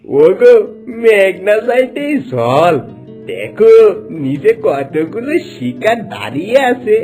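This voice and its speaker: A man's voice: sits high, very animated, slow, a little mellow, faint music behind, full character voice. A man voicing a doting, man-eating ghost husband in a cartoon, fawning and full of exclamations. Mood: eager. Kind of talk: cartoon voice acting.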